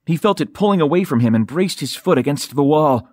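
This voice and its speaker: A man's voice: on the high side, animated, fairly quick, a little mellow, no echo. Man narrating suspenseful fiction, dry studio sound. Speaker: audiobook narrator. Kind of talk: dramatic scripted fiction narration. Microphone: close studio microphone.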